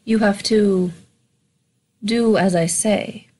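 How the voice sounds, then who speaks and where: A woman voicing a terse, haughty sentient sword in a tabletop game, deadpan and curt. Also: mid-range voice, slow. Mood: deadpan, bossy.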